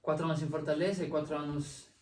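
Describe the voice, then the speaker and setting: A man's voice: a little high, fairly even intonation, quick pace, a little mellow. A young adult man from Italy with an Italian accent, speaking Portuguese as a second language as a guest in a casual interview. He talks with many fillers and restarts. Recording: echoey room, mic at a distance.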